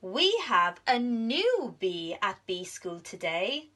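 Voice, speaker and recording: A woman's voice: mid-range voice, sing-song, takes it slowly, pushed and bright, exaggerated voice. A woman voicing an authoritative queen bee who runs a school in a storybook. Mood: welcoming.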